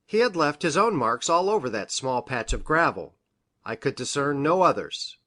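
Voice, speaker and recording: A woman's voice: low voice, sing-song, moderate pace, a bit bright, full character voice. A woman voicing a grave, hesitant male country doctor in a storybook reading, formal and precise in manner. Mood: matter-of-fact. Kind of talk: audiobook character reading.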